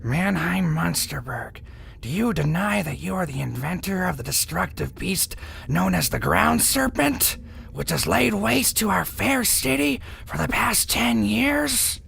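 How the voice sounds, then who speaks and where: An actress playing a sly, accusing prosecutor in a pulp audio drama, with a gravelly, husky rasp and theatrical delivery. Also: female voice, fairly low pitch, sing-song, measured pace, a bit bright, heavily acted. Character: menacing, sly prosecutor of an underground mole court.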